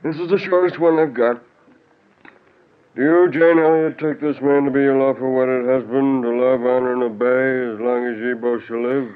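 An actor playing a folksy, obliging older judge in a 1940s radio drama, genial and unhurried. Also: male voice, medium pitch, fairly even intonation, smooth, natural voice. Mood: officiating. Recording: thin, phone-like old radio recording.

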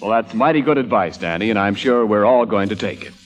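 A man's voice: lowish voice, a little fast. A man working as a folksy, showy announcer signing off a 1940s radio variety show. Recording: old radio broadcast, music behind.

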